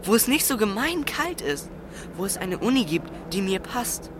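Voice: medium pitch, big pitch swings, normal speed, background noise, lightly acted. A performer playing a scornful, domineering boy of thirteen, a scheming ringleader in a German radio drama. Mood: defiant. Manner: bossy, contemptuous, intense.

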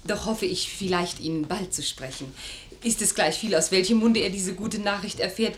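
A woman's voice: medium pitch, slight background noise, close to an everyday voice. Woman as poised, gracious young noblewoman. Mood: courteous. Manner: refined, measured stage diction. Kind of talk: 1950s German radio drama acting.

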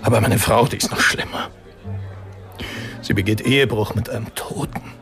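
Creaky-voiced, bitter drinking writer played by a man. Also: fairly low pitch, fairly even intonation, over music, close to an everyday voice. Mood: bitter, accusing. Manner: brooding, sardonic, halting. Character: bitter, hard-drinking male writer, married and troubled. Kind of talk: German radio crime drama acting.

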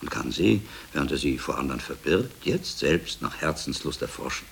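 Radio drama monk-sleuth: shrewd, insistent, bottom-register voice with heavy creak. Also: male voice, a bass voice, animated, moderate pace, a little hiss or hum, full character voice. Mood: resigned, dry. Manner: insistent, dry, authoritative. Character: shrewd, probing medieval friar and investigator.

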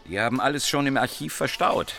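An actor playing a coarse, defensive, irritable mobster in a radio crime drama. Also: male voice, medium pitch, fairly quick, pushed and bright. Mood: agitated. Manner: gruff, cursing, defensive. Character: coarse, irritable male Sicilian mobster with guilty secret.

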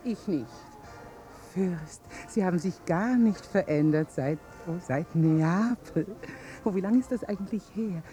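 A woman's voice: on the low side, a little slow, dark tone, soft background music, close to an everyday voice. An actress playing a snobbish, flirtatious society lady in a 1950s radio play, with an affected, effusive manner. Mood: gushing, haughty.

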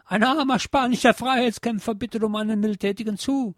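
Man mimicking a whining, high-pitched ragged veteran beggar. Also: fairly even intonation, brisk, dry recording, studio quality. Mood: mock pitiful. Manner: whining, stammering beggar's boast. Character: pitiful begging ragged war veteran, mockingly imitated.

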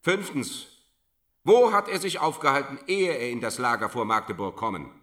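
An actor playing a suspicious, threatening regimental judge from the Thirty Years' War, questioning formally and pedantically in a radio play. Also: male voice, mid-range voice, normal speed, fairly bright tone, distant mic, close to an everyday voice. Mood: stern, methodical. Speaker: military magistrate interrogating a prisoner.

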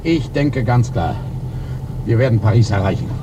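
A man's voice: medium pitch, lively intonation, moderate pace, lo-fi sound, close to an everyday voice. Actor as a stubborn, agitated man amid traffic. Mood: stubborn resolve. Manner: tense, impatient, insistent. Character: stubborn, agitated man driving away from war. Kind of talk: German radio drama acting. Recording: loud traffic and crowd noise.

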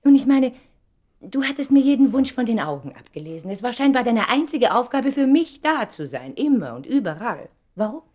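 An actress in a German radio play playing a proud, probing woman who speaks in a deliberate, pointed, dramatic way. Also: a little high, sing-song, a little mellow. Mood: insistent, probing. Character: Valerie, proud woman, probing, articulate, emotionally insistent.

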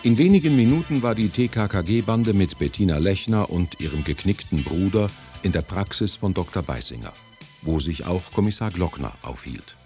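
A man's voice: low-pitched, expressive, moderate pace, dark tone, soft background music. A middle-aged man narrating a detective radio play for young listeners as a composed storyteller.

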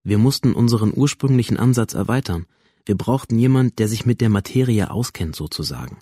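An actor playing a clinical police researcher in a radio drama, speaking in a formal, matter-of-fact, bureaucratic way. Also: male voice, lowish voice, animated, brisk, dark tone, no room sound, studio quality. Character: coolly clinical male police researcher explaining a simulation.

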